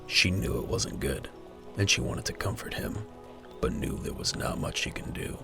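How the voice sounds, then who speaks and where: Male narrator, scripted audio drama, extremely low pitch, heavy vocal fry, music behind. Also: big pitch swings, moderate pace.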